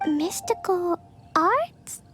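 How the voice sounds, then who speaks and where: A woman's voice: extremely high pitch, lively intonation, drawn-out words, faint music behind, heavily acted. A woman voicing an innocent, cheerful little girl in an anime game, with simple, artless childlike speech. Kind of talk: scripted anime game acting.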